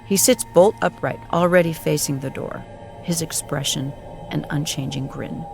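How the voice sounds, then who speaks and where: A solemn narrator of mid-range pitch tells a comic audio drama as if it were an epic. The delivery is scripted and dramatic, with music clearly playing behind it. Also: moderate pace.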